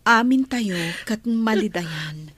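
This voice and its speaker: A gentle wife in a radio drama, acted by a woman, consoling in a hushed, breathy voice. She talks slowly and soothingly, recorded close and dry. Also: mid-range voice, expressive, no room sound, exaggerated voice. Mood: concerned, gentle. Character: gentle, consoling young madam and wife, Olivia. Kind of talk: radio drama dialogue in Ilocano.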